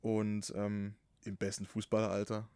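A man from Saxony with a slight Saxon accent, hosting a podcast in casual, animated chat. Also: lowish voice, normal speed, clear, resonant tone, dry recording, studio quality. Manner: animated, emphatic, swears casually. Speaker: podcast host.

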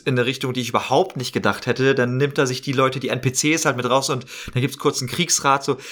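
A man explaining his podcast work in a casual interview, in an animated conversational way. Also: medium pitch, fast. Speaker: podcast maker, editor and actor.

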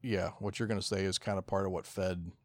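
A man's voice: low voice, fairly even intonation, fast talker, no echo. A man co-hosting a podcast in casual chat, hesitant and self-deprecating as he talks. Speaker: podcast co-host.

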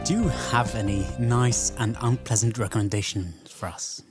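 A man co-hosting a podcast in casual conversation, explaining things in measured, halting phrases. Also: on the low side, fairly even intonation, over music. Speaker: podcast co-host. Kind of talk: casual film podcast chat.

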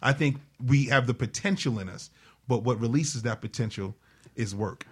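A man's voice: medium pitch, moderate pace, husky. A man on a talk show panel discussing ideas conversationally, working through his point with frequent "you know" asides.

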